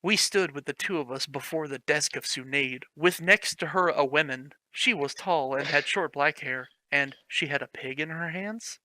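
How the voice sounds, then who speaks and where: A young man with a very bright, sharp, slightly high voice reads a fanfic aloud for laughs. He is sarcastic, swears freely and keeps breaking off to mock the writing. It sounds dry and close, with no room sound. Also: normal speed, hardly any pauses, no echo, low-quality recording. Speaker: host reading a fanfic aloud. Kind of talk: comedic reading with commentary.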